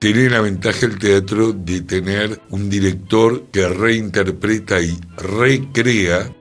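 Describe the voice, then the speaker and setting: Man, low husky voice, Rioplatense accent, slow reflective radio talk, faint music behind. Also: steady tone. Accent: Rioplatense Argentine. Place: radio studio.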